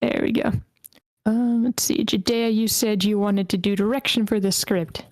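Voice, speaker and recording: Woman, group host running a casual roll call. Medium pitch, informal and encouraging, dry acoustic. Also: steady tone, normal speed, dry recording. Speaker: host of a script table read. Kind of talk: casual organizing talk, casting roll call.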